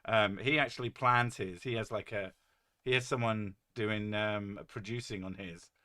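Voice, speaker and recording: A man with a deep, boomy voice hosting a casual stream, joking and rambling with a few curses, his mic set well above his head. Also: fairly even intonation, normal speed, pushed and bright. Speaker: streamer host. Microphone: desk mic set well above his head.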